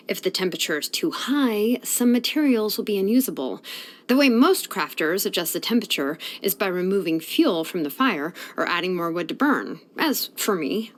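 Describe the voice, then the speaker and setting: An actress playing a sleepy, laid-back young woman blacksmith in a fantasy video game, speaking in a casual, unhurried way. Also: mid-range voice, lightly acted. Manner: unhurried, casual, hesitant drawl with sighs. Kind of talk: English video game voice acting.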